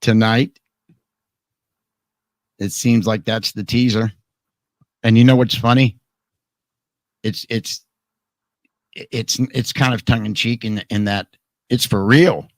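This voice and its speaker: A man with a hoarse, tired voice hosts a live talk program. His tone sits low, and he rambles and jokes through restarts. The sound is dry and close, with no room behind him. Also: steady tone, slow pace, pauses often, no echo. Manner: chatty, joking, rambling with restarts. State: hoarse, voice worn out. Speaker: radio program host. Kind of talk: casual live talk show chat.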